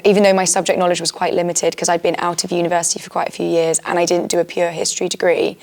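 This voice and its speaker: Chatty British woman with an even, lowish voice. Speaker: trainee-turned-early-career history teacher. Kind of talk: casual reflective conversation.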